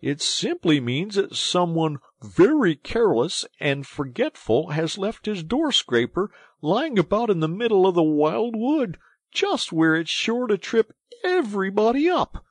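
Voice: mid-range voice, big pitch swings, unhurried, slightly rough, no echo, clean studio sound, pitched above the performer's own voice. A narrator voicing a grumpy, injured mole from a storybook, plaintive, complaining and stubbornly literal, with emphatic delivery. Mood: pettish, indignant. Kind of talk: animated storybook character reading.

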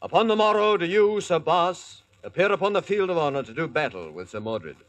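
A man's voice: on the high side, lively intonation, a little fast, fairly bright tone, no echo. An actor playing a lordly, fair-minded king, declaiming in archaic style in 1940s-style radio drama. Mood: commanding.

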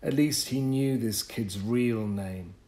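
A man reading a literary short story as an audiobook, with measured, deliberate phrasing and pauses. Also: mid-range voice, mellow. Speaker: audiobook narrator. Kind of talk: scripted literary story narration.

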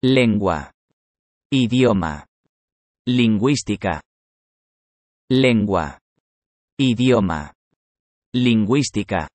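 A man reading a vocabulary list in a measured way, saying each word twice for learners. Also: lowish voice, expressive, a little mellow, no echo. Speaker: Spanish vocabulary reader.

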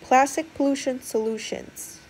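A woman reads a children's story aloud in a fairly high voice. The delivery is scripted narration, as a storyteller for a picture book. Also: unhurried, darkish tone, slight background noise.

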